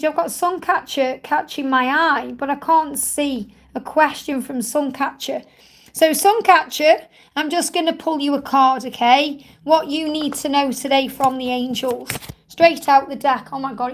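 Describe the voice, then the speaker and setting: High-pitched woman reading cards live, slightly croaky. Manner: gentle, reassuring, addresses viewers as honey. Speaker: spiritual card reader hosting a live Q&A. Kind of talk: live-stream card reading, chatty.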